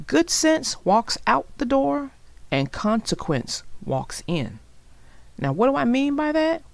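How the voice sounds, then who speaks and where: A woman reading a children's story aloud and talking to young listeners in an expressive, deliberate, instructive way. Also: mid-range voice, gravelly, no room sound.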